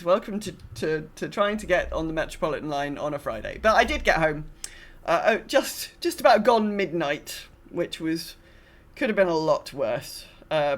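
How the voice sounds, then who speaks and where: A woman with a British accent chatting casually as a podcast co-host, telling anecdotes and often repeating and correcting herself. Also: sits low. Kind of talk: casual chat, personal anecdotes.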